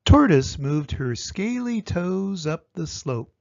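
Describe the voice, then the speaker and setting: An older man narrates a children's storybook with dramatic emphasis. His pitch is fairly high and very animated, and he reads at an unhurried pace. The recording is dry and a little dull. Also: vocal fry, dry recording. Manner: expressive, dramatic emphasis on sound words. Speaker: storyteller reading aloud to children.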